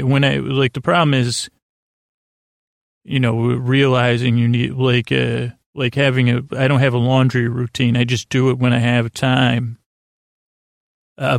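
A man hosting a sleep podcast, rambling in a halting, stammering, self-deprecating way that keeps circling back on itself. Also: medium pitch, gravelly, no echo, clean studio sound. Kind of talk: rambling bedtime monologue.

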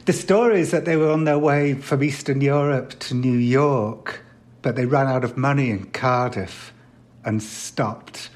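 Middle-aged male guest from Wales, mid-pitched, casual storyteller, self-mocking. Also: measured pace. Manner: rambling, self-deprecating anecdotes with chuckles. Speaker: interview guest. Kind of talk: casual chat.

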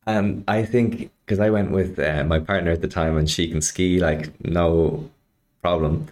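A man hosts a casual podcast chat in a very low voice. He rambles through stories, pokes fun at himself, and fills his pauses with ums and likes. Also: steady tone, a little mellow. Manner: rambling, self-deprecating, many fillers. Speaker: podcast host.

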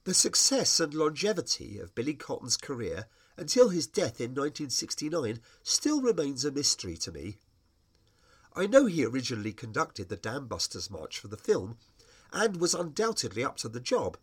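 Animated British man reading wry memoir. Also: mid-range voice, normal speed, breathy edge. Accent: British English. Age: middle-aged. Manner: wry, expressive storytelling. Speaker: writer reading own personal essay. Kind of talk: scripted narration of humorous memoir.

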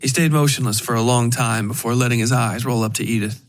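A man reading dark fiction as a scripted narration, in a measured way with dramatic pauses. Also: mid-range voice, fairly even intonation, slight background noise. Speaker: narrator of a horror fiction podcast.

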